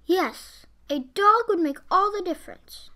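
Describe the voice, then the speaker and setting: A female performer playing an eager, excitable little sister in a radio play. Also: very high voice, unhurried, full character voice.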